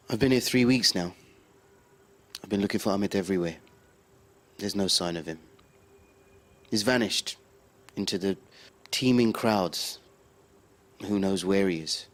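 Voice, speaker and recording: An actor playing an earnest, incredulous radio journalist investigating a grim trade in an audio drama. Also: male voice, deep voice, slow, long pauses, fairly bright tone. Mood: brooding, determined. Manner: incredulous, questioning.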